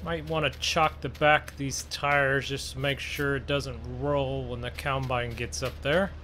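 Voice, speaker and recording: A man's voice: medium pitch, unhurried. A man giving casual, laid-back role-play commentary as the host of a gaming video, narrating what he does for viewers. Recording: steady engine and vehicle noise behind. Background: farming game vehicle and outdoor sounds.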